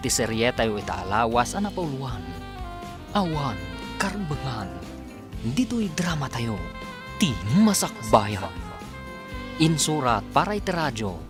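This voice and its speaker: A man with an Ilocano accent giving dramatic scripted narration as a radio drama announcer. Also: mid-range voice. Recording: music under the voice.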